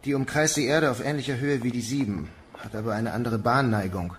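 A man's voice: mid-range voice, a little fast, slight background noise, natural voice. A man playing a world-weary, guarded but protective Mars mission commander in a German audio drama. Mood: sombre, matter-of-fact.

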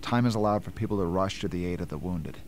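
Man playing a low-voiced cerebral scientist. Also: quick pace, a little mellow, soft background music, natural voice. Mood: explaining. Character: cerebral, measured male scientist and weapons inventor. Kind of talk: amateur radio play acting.

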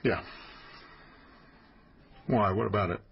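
An actor playing a brisk, authoritative detective in charge of a murder inquiry in a naturalistic radio drama. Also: male voice, on the low side, creaky, lo-fi sound. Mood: impatient. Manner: businesslike, authoritative. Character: brisk male police detective boss running a murder inquiry.

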